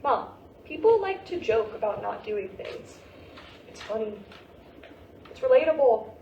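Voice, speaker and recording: Woman acting a sassy stressed teen daughter in a play reading, kinda high voice, super roomy sound. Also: sing-song, unhurried, creaky, dull audio, full character voice. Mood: flippant. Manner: flippant, slangy, brushing off worries. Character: sarcastic teenage daughter, stressed about college. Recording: roomy, distant mic with hiss.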